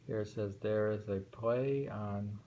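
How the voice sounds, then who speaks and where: A middle-aged man reads old poems aloud, then muses over them in a relaxed, thinking-aloud way. His voice is low and mellow, with a gravelly edge. He takes his time, often pausing to reread a line. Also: muffled recording, a little hiss or hum. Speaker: poetry reader. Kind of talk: reading poems aloud with casual commentary.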